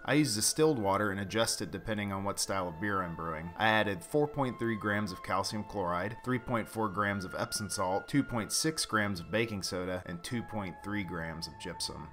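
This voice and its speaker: Male host, low voice, casual and playfully dry delivery, music behind. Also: fairly bright tone. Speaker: host, home brewer. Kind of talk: casual scripted narration and relaxed tasting chat.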